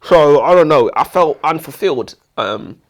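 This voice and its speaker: A man's voice: a little high, lively intonation, moderate pace. A British man bantering casually as a book review co-host, blunt, opinionated and jokey.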